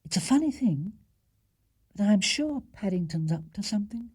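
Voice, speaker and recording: A man's voice: sits high, lively intonation, moderate pace, darkish tone, slightly rough, pitched above the performer's own voice. A British man voicing a suspicious, fretful middle-aged housewife in a storybook, chatty and confiding. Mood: puzzled, suspicious.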